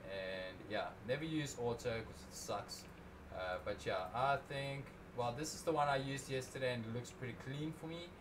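A young man talks through an editing tutorial in a loose, rambling way, often restarting his sentences. His voice sits at a middling pitch. He speaks into a desk mic, and steady background noise runs under the whole recording. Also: moderate pace. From South Africa. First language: Afrikaans. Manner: rambling, self-correcting, casual. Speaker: drone video creator.

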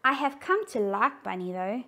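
Woman voicing a playful young dog girl, storybook, teasing, lively pitch. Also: normal speed, exaggerated voice. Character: cheeky young female dog, always hungry.

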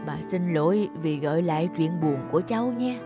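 An actress playing a kind, worried elderly grandmother in a cartoon, speaking gently and plaintively. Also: low-pitched, animated, a little fast. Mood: gentle, apologetic. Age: older. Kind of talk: animated moral tale voice acting. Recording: music playing behind the voice.

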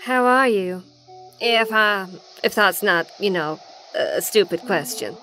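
A woman playing a calm, resolute, sympathetic layer of ghosts in a supernatural radio drama. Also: mid-range voice, slow, soft background music, rough recording.

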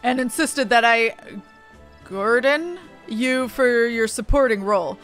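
Woman playing a hearty, grandiose officer, high-voiced. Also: takes it slowly, fairly bright tone, soft background music. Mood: hearty, effusive.

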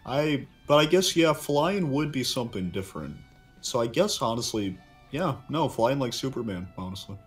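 A man voicing a calm, polite ninja chameleon detective, chatting in character in a measured, thoughtful way over a game. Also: medium pitch, close to an everyday voice. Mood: musing. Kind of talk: casual gaming chat in character. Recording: music behind the voice.

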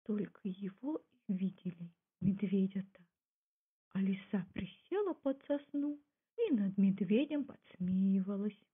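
A woman with a husky voice giving a scripted storytelling narration. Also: animated, measured pace. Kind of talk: scripted folk tale and documentary narration. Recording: muffled, low-fidelity phone-like sound.

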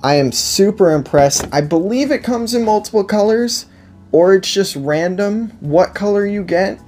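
A man's voice: high-pitched, expressive, normal speed, a little mellow, faint music behind. A man in his twenties giving a casual, unscripted product review indoors, enthusiastic and emphatic, often repeating himself. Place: indoor room, seated at a table before a backdrop.

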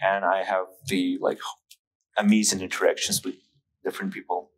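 A man's voice: sits low, flat delivery, normal speed, low-quality recording. A man from Ukraine with a Ukrainian accent, chatting casually and telling anecdotes on a podcast. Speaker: podcast guest, hobby photographer.

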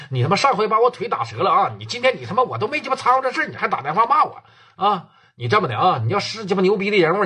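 A man shouting as a raging young gang boss. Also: sits high, breathy edge, exaggerated voice. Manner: shouting, cursing, rapid threats. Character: furious hot-headed young male gang boss.

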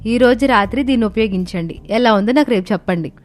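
A woman voicing a resourceful young daughter-in-law in a cartoon story, explaining things calmly and patiently. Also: on the high side, quick pace, close to an everyday voice.

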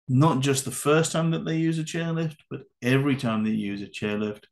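Male presenter for a voice-over training lecture, low and gravelly, measured and instructive. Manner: measured, instructive, with occasional fillers. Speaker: adaptive ski course presenter. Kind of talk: narrated slide lecture.

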